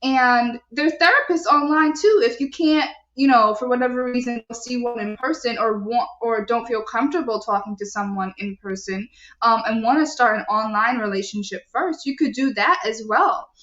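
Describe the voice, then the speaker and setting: A young adult woman hosting a live show, reading viewer comments and asking her listeners questions in an animated, preachy way. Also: a little high, moderate pace. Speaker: show host. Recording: echoey, dull lo-fi sound.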